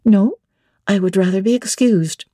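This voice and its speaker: A woman voicing a proud, flinty young bride-to-be in a classic novel reading, brisk and firm in her retorts. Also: mid-range voice, dark tone, no room sound, clean studio sound, close to an everyday voice. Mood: tart refusal.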